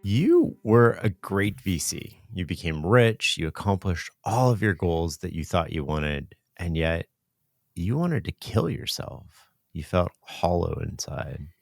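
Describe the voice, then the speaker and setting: A man's voice: low-pitched, very animated, slow pace, vocal fry, no echo, clean studio sound. A man hosting a podcast, asking earnest, probing questions and restating ideas in his own words. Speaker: podcast host. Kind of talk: interview questions.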